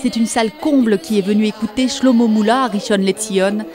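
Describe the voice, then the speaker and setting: A woman's voice: medium pitch, steady tone, brisk. A woman reading a scripted voice-over for a television news report. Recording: loud music and crowd behind. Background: music and crowd sound.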